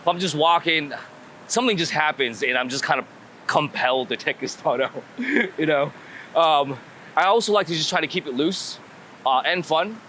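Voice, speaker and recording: A man's voice: fairly high pitch, expressive, normal speed, bright, forceful tone. A man being interviewed casually as a street photographer, thinking aloud and repeating words as he goes. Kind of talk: casual walk-and-talk interview. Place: outdoors on a city street. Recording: outdoor street recording with city traffic noise. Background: urban ambience and vehicles.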